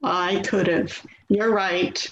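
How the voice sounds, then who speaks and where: A woman's voice: lowish voice, fairly even intonation, slow, a bit bright. A woman acting a harried, placating adult daughter in a readers theater play, weary, hesitant and pleading. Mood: apologetic. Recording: dull, echoey, clearly noisy audio.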